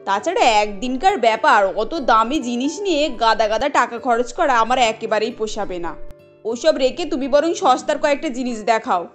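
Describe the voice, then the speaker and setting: A woman's voice: fairly high pitch, lively intonation, normal speed, faint music behind, lightly acted. A woman voicing a stingy, bossy elderly mother-in-law in a cartoon, with a dismissive way of talking. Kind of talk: cartoon dialogue acting.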